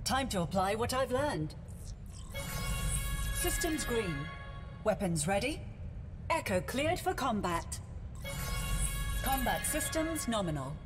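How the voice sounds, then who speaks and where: A woman voicing a young female robot in a video game, calm, curious and measured in precise machine-like phrases. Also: a little high, over music. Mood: calm, ready. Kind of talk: video game character lines.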